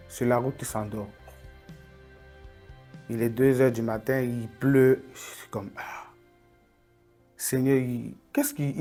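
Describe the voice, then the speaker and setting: A young man tells his story in an earnest, reflective way. His voice sits at a middling pitch and often sinks to a quiet, inward tone. Soft music plays faintly behind him. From Cameroon. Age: 20s. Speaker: testimony speaker. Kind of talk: personal faith testimony.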